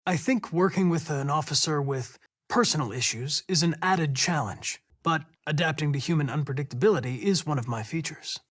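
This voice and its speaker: A man's voice: fairly high pitch, moderate pace, a bit bright. An American man playing a polite, analytical young android detective in a video game, earnest and determined, with a precise, formal delivery. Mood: measured, analytical.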